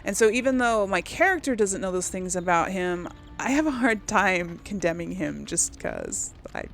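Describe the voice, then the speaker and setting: A woman's voice: mid-range voice, expressive, normal speed, vocal fry, soft background music. A woman giving casual video game commentary, conversational and musing as she thinks aloud and talks herself through choices. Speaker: let's play host.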